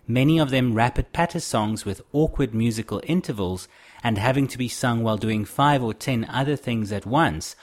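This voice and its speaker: Guy doing documentary narration, calm informative read. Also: medium pitch. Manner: measured, informative. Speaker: narrator host.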